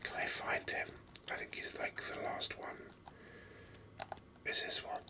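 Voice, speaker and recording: A man hosting a gaming video in a breathy ASMR whisper, rambling along unhurriedly close to the microphone. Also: measured pace. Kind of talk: ASMR whispered game commentary.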